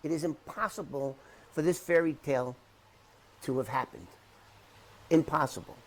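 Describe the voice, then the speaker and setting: A middle-aged man talking unscripted to the camera in an emphatic, repetitive, halting way as he rebuts claims. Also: a little high. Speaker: interview commentator debunking someone's claims.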